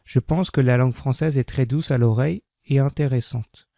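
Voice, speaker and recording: A man with a dark, gravelly voice reads a beginner French text at a measured pace. He repeats each sentence with careful diction. The recording is dry and close, with no room sound. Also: medium pitch, no echo. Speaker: French language teacher. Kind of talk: slow scripted reading for learners.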